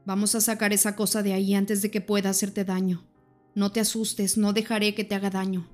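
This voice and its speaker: In this audiobook, a protective young vampire husband, as the woman narrating reads him speaks low and urgently, with a tense edge that goes cold. He talks in a steady, flat line with little rise and fall. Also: brisk, faint music behind, close to an everyday voice.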